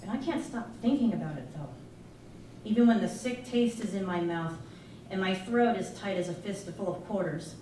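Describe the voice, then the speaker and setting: A woman giving an expressive live reading of a first-person novel, narrating as a candid, troubled young girl. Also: mid-range voice, normal speed, a little hiss or hum. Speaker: reader at a live literary performance. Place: live performance in an arts venue. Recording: echoey live room, distant mic, music. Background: music playing, guitar.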